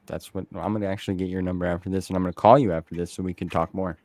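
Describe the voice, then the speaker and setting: Casual male interview host, low voice. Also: fairly even intonation, racing, darkish tone, no echo.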